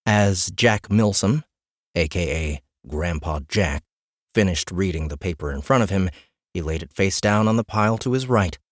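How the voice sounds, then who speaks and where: Deep-voiced, expressive male audiobook narrator. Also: moderate pace, dry recording, clean studio sound. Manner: expressive, storytelling. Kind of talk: scripted fantasy story narration. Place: dry recording booth.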